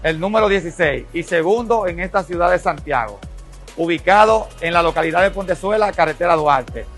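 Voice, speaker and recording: A man with a high voice reads a scripted supermarket commercial. Faint music sits behind him. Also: expressive, a little fast, a bit bright. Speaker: advertisement speaker.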